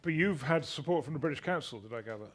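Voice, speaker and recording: A man's voice: on the high side, animated, fast talker. A man hosting a panel interview, giving short agreeing replies and asking probing follow-up questions.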